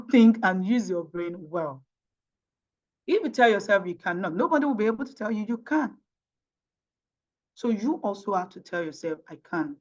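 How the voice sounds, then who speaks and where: A woman's voice: medium pitch, highs cut off. A woman from Nigeria with a Nigerian accent and Yoruba as her native language, answering in an interview emphatically with rhetorical questions. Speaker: interview guest, tech professional.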